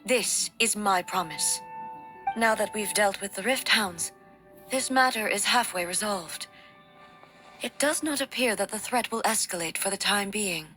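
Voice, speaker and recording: An actress voicing a solemn, dignified warrior deity in a video game, with a formal, measured and resolute delivery. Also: female voice, mid-range voice, bright, forceful tone, natural voice. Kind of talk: scripted video game voice acting.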